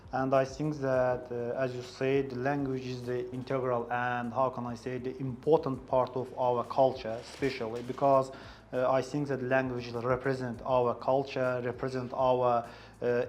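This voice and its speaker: Male discussion host, level intonation, halting search for words. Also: medium pitch, darkish tone, a little hiss or hum. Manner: hesitant, repeats phrases while searching for words. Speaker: English club host, interviewer. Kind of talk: club discussion in a second language.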